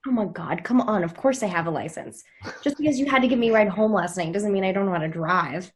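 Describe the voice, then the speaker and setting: A woman's voice: mid-range voice, fast, slight background noise, close to an everyday voice. Woman playing a blunt, teasing young woman. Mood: eager, persuasive. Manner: frank, sarcastic. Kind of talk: naturalistic table read of a TV drama.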